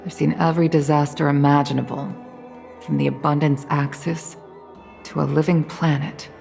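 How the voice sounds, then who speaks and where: A woman's voice: low-pitched, level tone, moderate pace, vocal fry, darkish tone, faint music behind. Stern, dignified mother and commander. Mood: weary, regretful. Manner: authoritative, formal, dignified. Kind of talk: dramatic video game voice acting.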